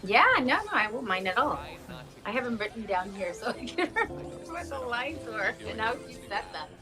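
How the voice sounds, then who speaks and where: A woman's voice: a little high, expressive, brisk. Woman chatting casually over music. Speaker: voice actress being interviewed. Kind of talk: casual conversational interview.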